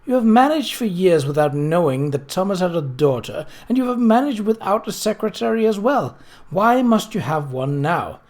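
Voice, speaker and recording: A man's voice: high-pitched, sing-song, normal speed, darkish tone. A man voicing a cross, pious middle-aged widow in a storybook, indignant and sanctimonious. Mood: disapproving.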